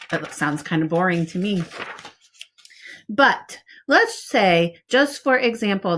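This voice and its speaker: A woman reading a picture book aloud like a preschool teacher, expressive and speaking directly to young listeners with casual asides. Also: mid-range voice.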